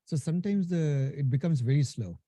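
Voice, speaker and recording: Husky Indian man teaching a class. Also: a little high, a little fast, warm tone, no room sound. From India and Nepal. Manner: casual, talks to the class as "guys", many "right?" tags and restarts. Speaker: instructor. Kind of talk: live technical lecture.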